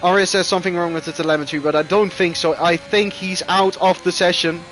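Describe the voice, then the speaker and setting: A man whose first language is Dutch calls a sim racing session live, his voice sitting a little high and jumping up in excited bursts. Faint hiss and engine noise sit under him. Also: fairly quick. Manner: excitable, rising loud bursts at crashes. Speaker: esports race commentator.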